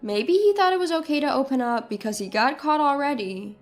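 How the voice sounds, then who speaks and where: A woman's voice: high voice, expressive, a little fast. A woman reading a scripted first-person story as its narrator. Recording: music behind the voice. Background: background music.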